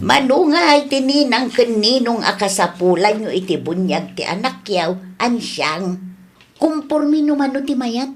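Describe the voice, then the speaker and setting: Woman acting as good-natured village mother, highish voice, unhurried pace, chatty, comedy radio drama.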